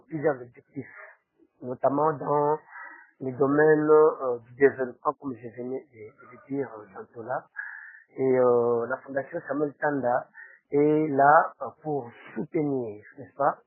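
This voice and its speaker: A husky-voiced man with a Congolese accent answers as a spokesman. He speaks formally but hesitantly, often tagging his phrases with small questions. The recording is tinny and narrow, like a phone line. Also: mid-range voice. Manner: hesitant, with frequent tag questions. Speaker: interview guest, foundation spokesman. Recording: roomy, tinny phone-like sound.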